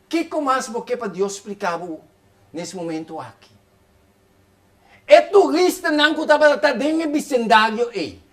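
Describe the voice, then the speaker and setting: High-voiced man preaching a fervent sermon. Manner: fervent, rapid preaching with repeated phrases.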